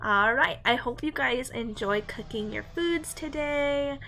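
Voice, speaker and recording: A woman's voice: high-pitched, a little slow, gravelly, pushed and bright. A woman reading a picture book aloud to young children and chatting to them in simple, encouraging language.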